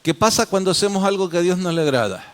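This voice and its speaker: A man's voice: high voice, expressive. A man leading a Bible study as pastor, questioning the class and calling on students by name. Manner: slow, deliberate explanations.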